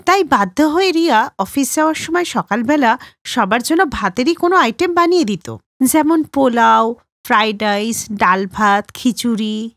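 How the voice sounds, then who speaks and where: A woman's voice: a little high, moderate pace, a bit bright, dry recording, studio quality. A woman narrating a scripted cartoon story.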